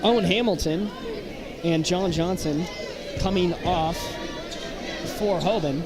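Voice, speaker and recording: A man doing live play-by-play commentary of a high school basketball game in short, clipped calls. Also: slow pace. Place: indoor high school gymnasium. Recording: loud gym crowd and court noise. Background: crowd, bouncing basketballs.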